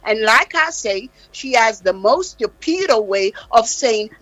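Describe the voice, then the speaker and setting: A woman's voice: fairly high pitch, animated, takes it slowly, a bit bright, dry recording, highs cut off. A Jamaican woman guest on a live radio interview, speaking with passionate, insistent emphasis. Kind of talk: heated interview talk. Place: radio studio.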